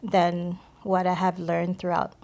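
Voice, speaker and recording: A woman from the Philippines, middle-aged, sharing a personal faith testimony as a podcast guest, speaking hesitantly with many pauses. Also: on the low side, completely flat delivery, creaky, darkish tone.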